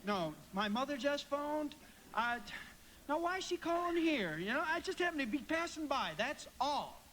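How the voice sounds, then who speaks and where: A man's voice: very high voice, very animated, moderate pace, bright, forceful tone, exaggerated voice. An American man acting in a live radio play as a flustered, stubborn young man who stammers defensively about courtship. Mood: evasive. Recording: very noisy live stage radio recording.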